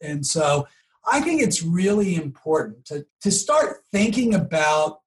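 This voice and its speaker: Male interview guest, slightly high voice, conversational explainer who tags points with rhetorical questions. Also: sing-song, measured pace. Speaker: marketing expert guest.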